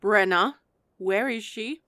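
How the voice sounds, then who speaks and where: Woman acting stern royal attendant, prim, formal, unhurried delivery, dutiful, dry sound, fantasy audio drama. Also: medium pitch, a bit bright, no echo. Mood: disapproving. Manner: formal, clipped, proper. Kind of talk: romantic fantasy audio roleplay.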